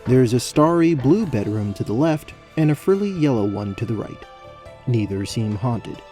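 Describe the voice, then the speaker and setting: A man serves as narrator, reading scripted fantasy prose. His voice is relaxed and mellow, sitting at a medium pitch. Soft music plays faintly behind the storytelling. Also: moderate pace.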